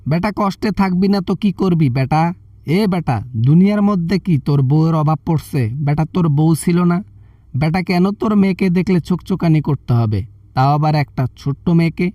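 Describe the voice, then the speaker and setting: পুরুষের অভিনয়ে চাপা সুরের, ধমকানো বাংলাদেশি সাংসদ. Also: male voice, fairly high pitch, fairly quick, warm tone, no echo, clean studio sound, distant mic, natural voice. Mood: indignant scolding. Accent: Bangladeshi. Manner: berating, repeatedly addressing the listener condescendingly. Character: scolding, threatening, self-righteous male member of parliament. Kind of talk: comic prank-call skit.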